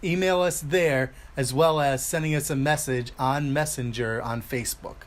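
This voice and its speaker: A man's voice: medium pitch, normal speed. A man hosting a casual talk podcast, upbeat and teasing as he reads announcements and needles his co-host. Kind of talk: casual chat.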